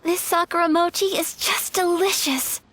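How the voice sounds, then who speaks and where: A woman playing a polite, refined young noblewoman in an anime game, gentle, earnest and formally courteous. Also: very high voice, steady tone, moderate pace, a little hiss or hum. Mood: gracious. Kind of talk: anime video game voice acting.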